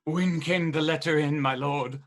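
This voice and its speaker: A woman's voice: low voice, steady tone, a little slow. A woman playing a cowardly male sheriff in a radio play, nervous, stammering and obsequious. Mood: deferential.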